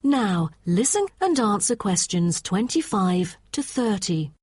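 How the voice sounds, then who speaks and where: A woman's voice: medium pitch, sing-song, takes it slowly, a little mellow, slightly rough, no echo. Female exam announcer, scripted instructions, very dry studio-like sound.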